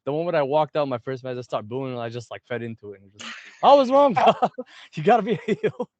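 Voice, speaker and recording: A young man from Egypt, Arabic his mother tongue, speaks English. He chats loosely, full of "like" and quick laughs. His voice sits a bit high and the sound is dry and close. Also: expressive, dry recording, highs cut off. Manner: laughs often, fillers like "like". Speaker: wrestler guest. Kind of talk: casual interview chat.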